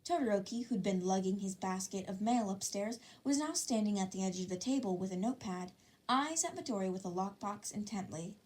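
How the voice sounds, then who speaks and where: A woman reads fan fiction aloud as narrator. Her voice sits at a medium pitch and her storytelling is expressive. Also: brisk.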